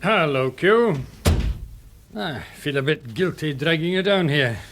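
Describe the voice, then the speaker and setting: An actor with an English accent playing a measured, courteous police inspector in a radio drama. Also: male voice, a little high, animated, noisy background. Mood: genial. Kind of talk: BBC radio drama acting.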